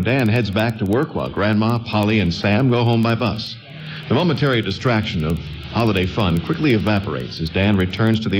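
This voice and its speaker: A man's voice: on the low side, rough recording. A man narrating a scripted old-time radio drama as a storyteller. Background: music.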